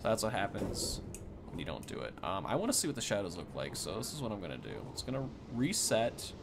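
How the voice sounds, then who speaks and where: A man's voice: lowish voice, wildly swooping pitch, fast, creaky, heavy background noise. A man giving casual, off-the-cuff game commentary in an informal way, thinking aloud as he goes. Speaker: gaming content creator giving a first look.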